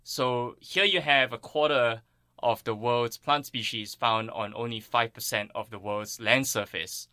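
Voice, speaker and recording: A man's voice: medium pitch, steady tone, a little slow, bright, forceful tone, smooth, dry recording, clean studio sound. A man from Singapore giving earnest, thoughtful explanations as an interviewed plant ecologist.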